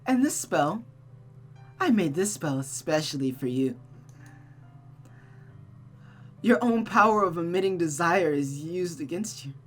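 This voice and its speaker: A woman's voice: fairly low pitch, animated, normal speed, faint music behind. A woman voicing a seductive, taunting, unhinged female creature of vengeance and desire from a visual novel, in a mocking, cooing, theatrical manner. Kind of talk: visual novel character read-aloud.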